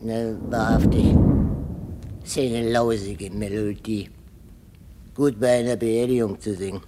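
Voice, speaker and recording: An actor playing a boozy, bawdy, self-pitying royal butler in a radio play, drawling drunkenly and given to crude singing. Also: male voice, lowish voice, fairly even intonation, a little slow, very noisy, lightly acted. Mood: maudlin, tipsy. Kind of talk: German radio play acting, comic drunkard. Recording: long echoing hall reverb.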